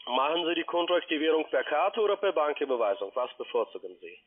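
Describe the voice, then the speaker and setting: A man making a polite phone sales pitch in non-native German with grammar slips, as a call center agent. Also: big pitch swings, fast talker. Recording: band-limited telephone line.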